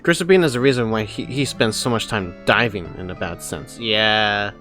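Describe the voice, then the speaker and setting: A man doing casual live gaming commentary, expressive and repetitive, with swearing. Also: fairly low pitch, moderate pace, soft background music. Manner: expressive, repeats phrases, swears. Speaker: gaming reaction streamer.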